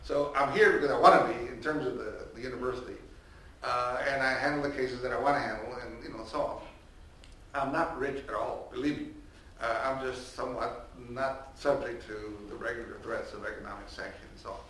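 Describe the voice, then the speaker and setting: A man's voice: medium pitch, brisk, slight background noise. Older man projecting in a hall. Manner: loud projecting voice with many restarts and fillers. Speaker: professor and panel speaker. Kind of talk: unmiked panel talk, conversational lecturing. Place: large echoey hall, speaking without microphone. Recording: roomy echo, distant from the mic, faint ambience.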